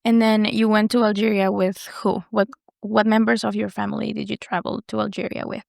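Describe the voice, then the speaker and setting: A woman's voice: mid-range voice, little pitch movement, brisk, gravelly, a little mellow, no echo, studio quality. A woman hosting a podcast, introducing and interviewing a guest. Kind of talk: scripted introduction, then interview questions.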